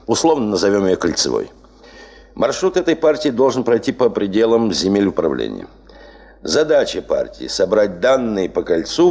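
An actor playing an authoritative, measured expedition chief with deliberate official phrasing, in a 1970s radio drama. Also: male voice, fairly low pitch, darkish tone.

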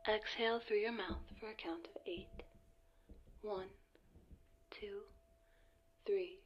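A woman puts on a serene announcer for a guided relaxation in an ASMR roleplay. Her delivery is very slow, calm and measured, in a breathy half-whisper. A faint hiss sits under the voice. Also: medium pitch, lo-fi sound.

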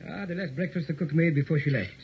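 A man's voice: a little high, quick pace, natural voice. A man playing a cautious, grim French inspector, formal and foreboding, in an old radio horror play. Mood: stern, brisk. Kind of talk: 1960s old-time radio horror acting. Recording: muffled old radio recording, background noise.

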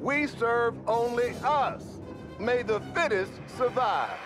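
An actor voicing a menacing, defiant rebel making threats in an animated game. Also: mid-range voice, slow. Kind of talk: animated film game speech. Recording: music behind the voice.

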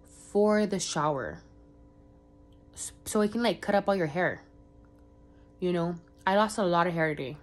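A young woman, congested from a cold, rambling casually in a vlog with lots of fillers. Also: lowish voice. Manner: rambling, filler-heavy, chatty. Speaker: vlogger.

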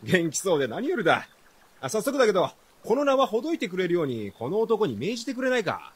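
An actor playing a breezy, cocky, free-spirited young man with a husky voice in a radio drama. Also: sits high, big pitch swings, fast talker, heavily acted. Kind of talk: Japanese radio drama acting.

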